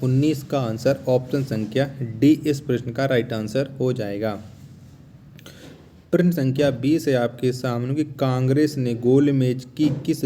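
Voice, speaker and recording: A man's voice: medium pitch, mellow, slight background noise. A man teaching an exam-prep quiz, reading out questions and giving the answers in an instructional, repetitive way.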